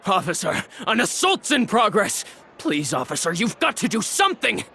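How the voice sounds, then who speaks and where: A man's voice: high-pitched, very animated, normal speed, gravelly, sharp tone, full character voice. A man voicing a cowardly, spiteful, indignant troublemaker in a video game, whining and blustering. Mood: pleading. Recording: faint noise and ambience.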